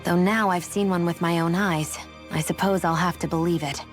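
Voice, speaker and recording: Lady voicing a cool sceptical young fighter gal in a game, lowish voice, blunt and dry, bit of music under. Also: steady tone, natural voice. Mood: cool, probing. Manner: dry, brisk, pointed. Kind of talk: dramatic video game voice acting.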